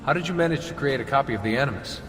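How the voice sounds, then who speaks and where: A man's voice: mid-range voice, lively intonation, very fast, a bit bright, roomy sound, big-room sound, close to an everyday voice. An actor playing a curious, plain-spoken modern man in a video game, casual and inquisitive. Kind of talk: video game cutscene acting.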